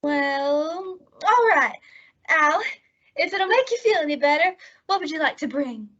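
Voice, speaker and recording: A woman's voice: high voice, expressive, unhurried, fairly bright tone, muffled recording. A woman playing a naive, good-hearted young airhead, earnest and literal-minded, in an old-time radio comedy. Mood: earnest, cheerful.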